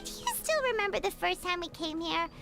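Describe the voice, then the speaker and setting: An extremely high, squeaky little fairy girl for a video game. She is an eager, excitable companion whose pitch swoops all over the place. Music plays prominently underneath her childlike chatter. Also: a little fast, gravelly, heavily acted. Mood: chatty. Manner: refers to self in third person, animated. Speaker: guide and companion.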